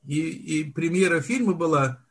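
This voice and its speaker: An older man, a film director, telling his story in a relaxed interview, often restarting and searching for words. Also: fairly high pitch, moderate pace, husky. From Soviet Union. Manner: reminiscing, with frequent restarts and word searches. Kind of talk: unhurried storytelling in conversation.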